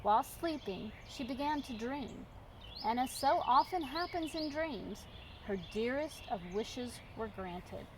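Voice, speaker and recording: Animated woman reading a children's story. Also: sits high, measured pace, noisy background. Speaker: storybook reader. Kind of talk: expressive children's story narration.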